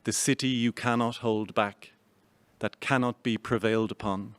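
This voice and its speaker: Irish guy reading his poem on stage, slow and measured, close dry sound. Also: medium pitch, steady tone, fairly bright tone, no room sound. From Ireland. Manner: measured, unhurried recitation. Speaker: poet reading his own work. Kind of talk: poetry reading. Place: theatre stage.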